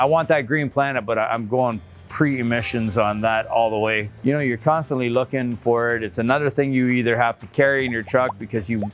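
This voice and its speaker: Middle-aged man, trucking vlog host, folksy chatty delivery, mid-range voice. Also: mid-range voice. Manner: folksy and chatty, upbeat asides; nervous on camera. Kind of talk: casual talk to camera.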